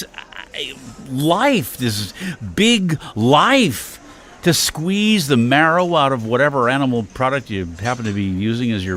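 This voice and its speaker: An actor playing a worn-out, wry older husband and father in an audio comedy, speaking in halting, exclamatory bursts. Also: mid-range voice, fairly bright tone. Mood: exasperated. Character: exasperated, worn-out older husband and father, wryly self-deprecating. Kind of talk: stage-comedy audio drama acting.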